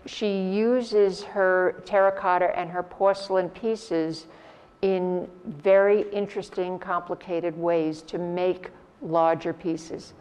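A woman hosting an art salon, giving a measured, formal introduction of the evening's speaker. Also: on the low side, fairly even intonation, a little mellow, smooth. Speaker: host of art salon talks.

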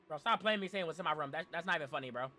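A man's voice: a little high, sharp tone. A young man live-streaming a game in casual slang, repeating himself in exasperated pleas, his voice strained as if he is losing it. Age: young adult. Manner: slangy, repetitive, exasperated pleading. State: strained voice, losing it, frequent throat clearing. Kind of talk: casual live horror-game stream, reacting and talking to chat.

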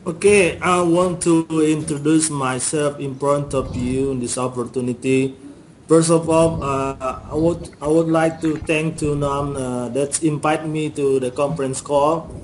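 Indonesian man introducing himself, slightly high voice. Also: fairly even intonation, a little slow, a little mellow, slight background noise. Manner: careful, formal learner's English. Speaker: university lecturer. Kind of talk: self-introduction. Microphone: video call.

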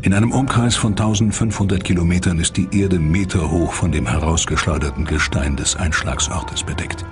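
A man's voice: sits low, moderate pace, hardly any pauses, music in the background. A man reading dramatic scripted narration as the narrator of a documentary.

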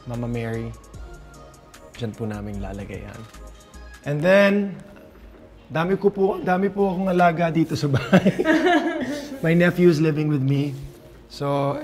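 A man's voice: fairly high pitch, normal speed, pauses often, over music. A man in his forties with a Filipino English accent, giving a casual, chatty house tour and laughing often, recorded on a handheld camera in a large house. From Philippines. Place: large modern house, indoors and on a roof deck. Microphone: handheld camera following him.

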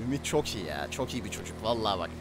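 A man's voice: on the low side, very animated, fast. A man streaming a roleplay game, chatting casually in slangy banter and bossing his crew around. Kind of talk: casual gaming livestream chat. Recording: game car engine noise behind voice. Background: video game vehicle sounds.

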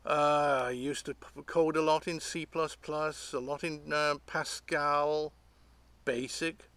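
Higher-pitched middle-aged man, thinking aloud. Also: fairly even intonation, fairly bright tone, no echo. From Great Britain. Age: 50s. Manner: rambling, halting, thinking aloud. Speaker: hobbyist vlogger. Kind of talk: casual unscripted talk to camera. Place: outdoors among trees.

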